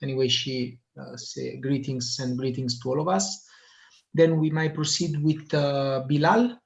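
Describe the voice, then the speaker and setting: A man's voice: medium pitch, fairly even intonation, a little slow, creaky. Hesitant man hosting a video call. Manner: hesitant, many filler sounds. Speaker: meeting host. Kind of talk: hosting an online meeting. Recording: muffled lo-fi video call audio.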